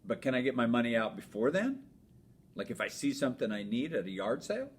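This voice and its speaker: A man's voice: big pitch swings, quick pace, husky. A man reading aloud as a shrewd, money-minded young boy in a storybook, questioning and emphatic. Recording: noisy with low rumble.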